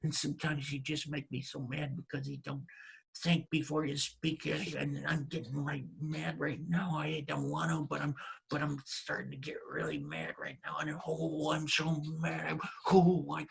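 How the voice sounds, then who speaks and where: A man's voice: on the high side, little pitch movement, moderate pace, creaky, husky, exaggerated voice. A man voicing a grumbling, exasperated peasant wife in a told folk tale, nagging and repeating herself. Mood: scolding. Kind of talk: storytelling character voice.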